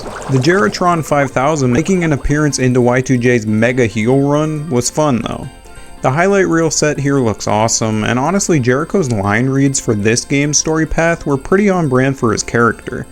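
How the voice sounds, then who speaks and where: Male video essay narrator, casual sweary opinionated fan talk, medium pitch, music bed behind. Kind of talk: casual retrospective video commentary.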